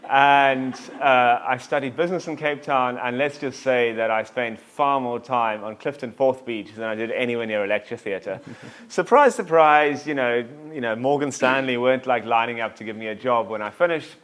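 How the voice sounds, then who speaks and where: A man, a tour company founder telling his story in a relaxed, self-deprecating way, full of fillers and asides. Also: mid-range voice, normal speed, clear, resonant tone. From South Africa. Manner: self-deprecating, jokey, rambling with many fillers. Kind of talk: casual interview storytelling.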